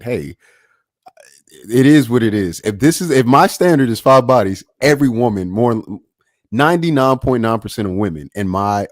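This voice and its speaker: A man's voice: on the low side, no room sound, studio quality. A man in his late twenties in a casual podcast debate, arguing in a slangy way and repeating himself for emphasis. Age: late 20s. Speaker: podcast panelist.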